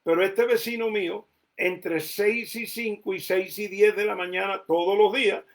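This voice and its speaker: A man's voice: sits high, fairly even intonation, moderate pace. A Colombian-born man raised in the United States, preaching a conversational sermon with a Caribbean Spanish accent, often repeating words as he thinks. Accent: Caribbean Spanish, Cuban and Puerto Rican influenced. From Colombia, raised mostly in the United States. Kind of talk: sermon teaching.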